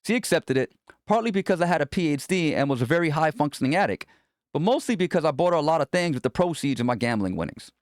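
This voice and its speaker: A man narrates a memoir in a slightly high voice with very animated intonation. The delivery is blunt, wry and conversational, and the sound is dry and close. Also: fast talker, no room sound. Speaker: narrator reading a book chapter aloud. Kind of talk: scripted first-person memoir narration.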